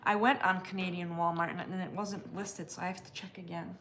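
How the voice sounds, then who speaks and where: Woman, from the US, relaxed, conversational, live chat, faint background noise. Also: fairly low pitch, fairly quick. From United States. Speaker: co-host. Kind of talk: casual live chat, reading comments aloud.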